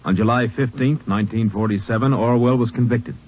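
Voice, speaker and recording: Grave American radio narrator, muffled sound. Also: male voice, mid-range voice, steady tone, a little fast. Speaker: narrator of the case outcome. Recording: muffled vintage radio recording. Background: faint music.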